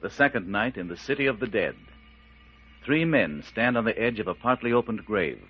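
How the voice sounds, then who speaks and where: A man narrating a radio mystery serial as announcer, in a suspenseful, measured and emphatic dramatic style. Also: fairly low pitch, lively intonation. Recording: muffled, husky old radio recording.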